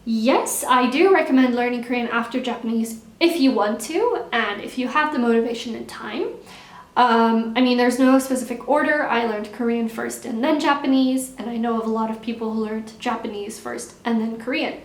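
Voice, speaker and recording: A young woman vlogger chatting casually while answering viewer questions, relaxed and repeating words for emphasis. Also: a little high, normal speed. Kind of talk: casual Q&A chat. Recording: echoey room.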